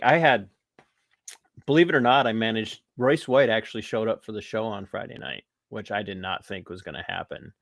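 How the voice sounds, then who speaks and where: An American man hosting a podcast, talking politics in a rambling, animated way with restarts and laughs. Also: mid-range voice, creaky, dry recording, studio quality. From United States. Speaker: podcast host. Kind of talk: casual political talk.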